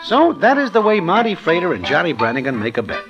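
Streetwise Broadway narrator, deadpan and roundabout, 1940s radio play, with music behind. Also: male voice, a little high, fast talker. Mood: wry. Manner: slangy, deadpan roundabout storytelling. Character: wry Broadway storyteller man, streetwise.

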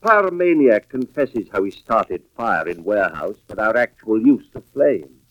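A man playing a grave, suspicious husband and fire investigator in a mid-century radio drama, with deliberate, dramatic delivery. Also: lowish voice, big pitch swings, no room sound. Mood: accusing, tense. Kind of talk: 1940s-50s radio drama acting, first-person narration. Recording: muffled vintage radio recording with music behind.

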